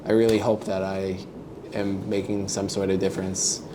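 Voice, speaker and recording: A man's voice: deep voice, little pitch movement, moderate pace. A young man from the United States, a podcast guest, talking casually and in a friendly way, with hesitant starts and many fillers. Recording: audible background noise.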